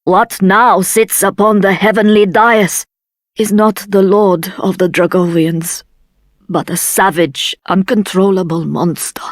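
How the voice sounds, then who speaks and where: A woman's voice: mid-range voice, fairly even intonation, a little slow, no echo, studio quality, lightly acted. A woman playing a grave, solemn councillor of a dragon folk in a video game. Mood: grave, urgent.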